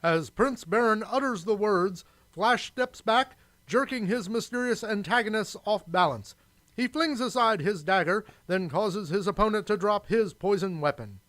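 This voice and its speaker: A man's voice: high voice, normal speed, a bit bright. A man narrating an adventure radio serial in a dramatic, theatrical storytelling style.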